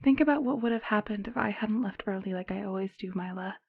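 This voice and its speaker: A woman acting as a tender, protective motherly nurse in an audio roleplay, gentle and soothing, often dropping into whispers. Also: medium pitch, quick pace, creaky, slightly rough, phone-quality sound. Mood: defensive, hushed. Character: tender, protective motherly nurse, cooing over a foundling child.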